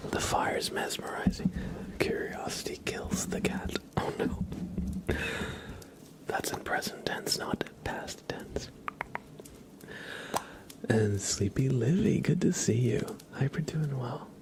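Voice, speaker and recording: A man hosting an ASMR livestream, chatting casually to viewers in a hushed, soothing whisper. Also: mid-range voice, expressive, slow pace, pauses often. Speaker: livestream host.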